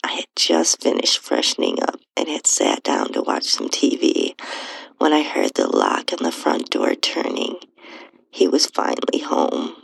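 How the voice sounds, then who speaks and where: A woman narrating an intimate first-person erotic story in a breathy, hushed, sultry voice. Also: extremely low pitch, wildly swooping pitch, a little slow, no echo, rough recording. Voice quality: breathy, whispery, husky. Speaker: podcast host and narrator reading own story.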